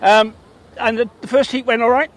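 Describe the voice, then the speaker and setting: A man from Wales with a Welsh accent, chatting excitedly to his livestream viewers while commenting on a race at a surf beach. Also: very high-pitched, normal speed, crowd noise. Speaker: livestream host. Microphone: phone mic held in hand.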